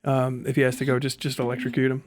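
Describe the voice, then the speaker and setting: A man's voice: mid-range voice, monotone, quick pace, no room sound. A man doing casual, off-the-cuff livestream commentary in an irreverent, sardonic way, sometimes swearing. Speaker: live streamer reacting to a video.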